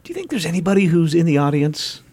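A man's voice: fairly high pitch, big pitch swings, fast, a little mellow. A man hosting public radio, interviewing and announcing at a close studio microphone. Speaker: radio host.

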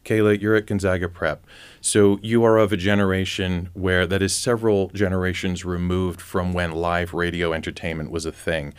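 A man's voice: deep voice, level tone, normal speed, clean tone. A man hosting a conversational interview, speaking as the interviewer in an unscripted manner.

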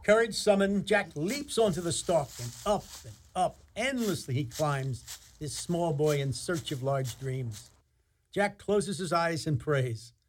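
A man's voice: a little high, animated, unhurried, slight background noise. A man narrating a scripted radio play as storyteller, in a theatrical and wry manner.

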